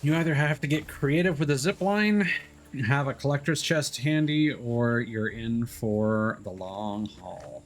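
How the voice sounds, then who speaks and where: A man's voice: medium pitch, a little slow. A man streaming a solo game, chatting and musing aloud, with mild complaints, over faint game music. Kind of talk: casual gameplay commentary.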